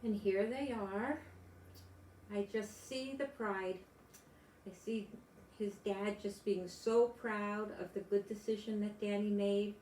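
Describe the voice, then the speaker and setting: An older woman, a grandmother storyteller, reading aloud and chatting to young children in gentle, simple phrases. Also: mid-range voice, steady tone, slow pace. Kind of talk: children's read-aloud and chat to young listeners. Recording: echoey room with background noise.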